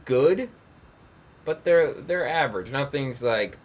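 A man's voice: mid-range voice, animated, slow, a loud crowd. A man co-hosting a podcast in casual chat, laid-back and hesitant, with many fillers. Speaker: podcast co-host.

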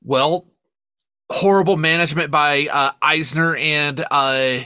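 A man co-hosting a casual podcast chat, talking in an emphatic, animated way with some swearing. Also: on the high side, slow pace, clear, resonant tone, no room sound, clean studio sound. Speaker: podcast co-host. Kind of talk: casual chat reviewing media.

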